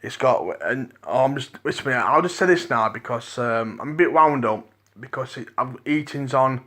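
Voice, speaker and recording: Liverpool man, podcast host, dry croaky voice, rambles and restarts sentences. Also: mid-range voice, expressive, normal speed, a bit bright. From England.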